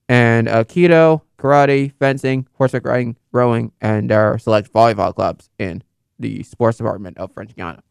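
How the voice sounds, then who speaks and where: A man's voice: medium pitch, vocal fry, clear, resonant tone, dry recording, clean studio sound. A young man in casual, unscripted commentary, rambling and correcting himself with many fillers. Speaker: football video host.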